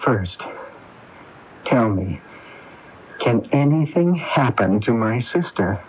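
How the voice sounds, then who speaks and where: A man's voice: medium pitch, big pitch swings, slow. An actor playing an anxious, guilt-ridden man cornered by gangsters, hesitant and earnest, in a radio mystery. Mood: desperate, defiant. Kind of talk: radio mystery drama acting. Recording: dull, noisy AM radio recording.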